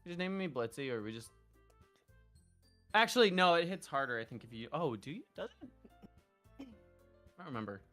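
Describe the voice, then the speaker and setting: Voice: mid-range voice, very animated, a little fast, pauses often, fairly bright tone, soft background music. A streamer chatting casually and informally with swearing while playing a game and reading its text aloud. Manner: profane, informal. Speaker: host, streamer.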